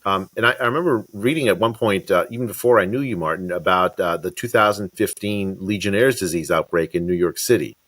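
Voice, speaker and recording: A man in his fifties hosts a podcast over a webcam from his study, speaking with many false starts and "uh"s. His voice sits low, and the sound is dry and close with little room echo. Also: a bit bright. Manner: halting, many restarts and fillers. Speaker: podcast host. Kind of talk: video call interview. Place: home study with bookshelves. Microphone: webcam on video call.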